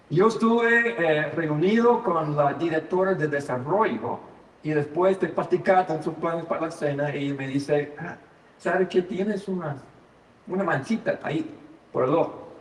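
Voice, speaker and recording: A man's voice: a little high, measured pace. An older American man who speaks English as his first language, preaching a sermon, telling a personal story with light self-deprecating humor and frequent hesitations. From United States. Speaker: guest preacher. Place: church sanctuary. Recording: echoey church hall from far off.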